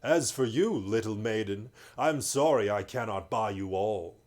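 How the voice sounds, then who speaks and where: A man's voice: lowish voice, a little slow, a little mellow, lightly acted. A man voicing a stern, proud bearded male lord in a read-aloud story.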